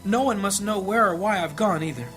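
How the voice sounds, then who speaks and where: Male actor as troubled gentle priest, higher-pitched, earnest and measured, fantasy audio drama, music behind. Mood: troubled, secretive.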